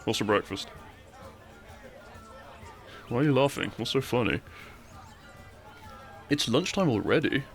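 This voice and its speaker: A man playing a lovestruck, cheeky young bandit outlaw in an intimate romantic audio roleplay, soft and conversational, with a self-deprecating streak. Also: mid-range voice, animated, measured pace, vocal fry, pauses often, faint music behind. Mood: flustered, teasing. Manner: soft, conversational, with sighs and chuckles.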